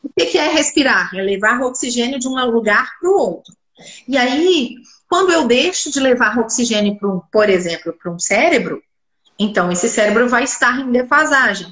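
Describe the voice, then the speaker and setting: A woman's voice: fairly high pitch, lively intonation, normal speed, fairly bright tone. Stuffy-nosed mineira lady, speech therapist, asks herself questions then answers, roomy echo on the call. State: congested with a cold. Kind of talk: explanatory interview talk. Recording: roomy echoey room sound.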